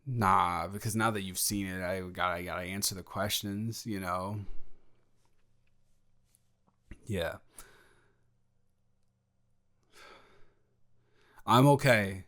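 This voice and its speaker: A man playing a sheepish, evasive young male roommate in an improvised audio roleplay, halting and rambling as he talks. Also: low voice, fairly bright tone. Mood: subdued, evasive. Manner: halting, rambling, trailing off into restarts.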